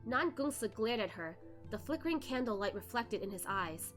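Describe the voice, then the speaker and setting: A woman's voice: on the high side, animated, moderate pace, a bit bright, faint music behind. A woman reading a novel aloud as a streamer host, narrating expressively with casual asides. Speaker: host of a novel read-along, virtual streamer.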